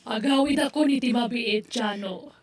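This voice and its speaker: A woman's voice: on the high side, moderate pace, no echo, natural voice. A woman acting an evasive caller in an Ilocano radio drama, with an Ilocano accent. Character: evasive woman phoning home to Jano.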